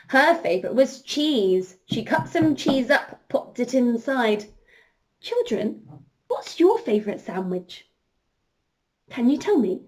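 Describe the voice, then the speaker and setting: A woman storyteller leading an interactive children's story, expressive and encouraging, speaking directly to her young listeners. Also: fairly high pitch, low-quality recording. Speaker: children's storyteller, princess entertainer.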